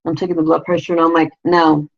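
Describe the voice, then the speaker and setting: A woman with a low, raspy voice hosting a casual live video show. She rambles crudely and leans on constant "you know" fillers. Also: level tone, quick pace, lo-fi sound. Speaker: vlogger, show host.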